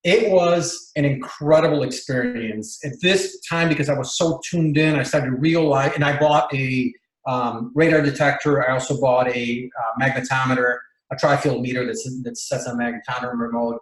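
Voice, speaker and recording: Interview guest, Bolivian-born man, mid pitch, halting delivery with lots of restarts, echoey room. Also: fairly even intonation. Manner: rambling, many fillers and restarts. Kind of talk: casual storytelling interview.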